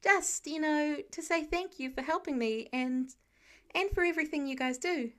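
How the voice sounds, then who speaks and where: A woman's voice: high voice, normal speed, a bit bright, voice pushed up. A woman voicing an earnest, awkward teenage boy superhero in an audiobook-style story, polite and hesitant, stumbling over words. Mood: eager.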